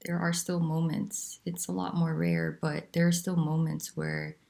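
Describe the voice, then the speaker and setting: Woman w a low gravelly voice, soft unhurried spiritual talk. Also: completely flat delivery. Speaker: nonduality teacher.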